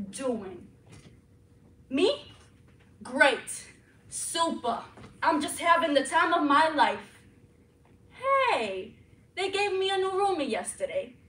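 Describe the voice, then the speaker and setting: A woman acts out a belligerent young woman who sneers and threatens in a bright, high voice. She drawls slowly and mockingly. The take sounds distant and echoey, recorded from far off in a room. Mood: sarcastic. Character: brash, belligerent young woman patient named Pat. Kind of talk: dramatic monologue.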